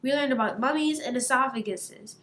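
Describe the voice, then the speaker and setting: A woman reading the part of an eager, polite young boy crocodile, earnest and excitable, in a children's storybook. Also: sits high, brisk, roomy sound, a little hiss or hum. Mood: eager, cheerful.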